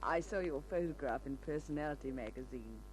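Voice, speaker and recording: A woman's voice: a bass voice, fairly quick, a little mellow, faint music behind. A woman acting a coy, alluring, flirtatious character in a 1950s radio crime drama, with a hesitant manner. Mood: coy, playful. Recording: noisy old radio recording with hum.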